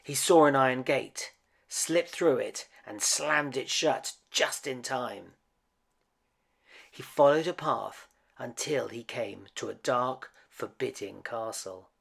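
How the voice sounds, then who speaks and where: A man narrates a children's storybook in an expressive, dramatic way. He takes it very slowly, with a gravelly creak in the voice, swelling for emphasis and dropping to a hush. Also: medium pitch. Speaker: storyteller reading a picture book aloud.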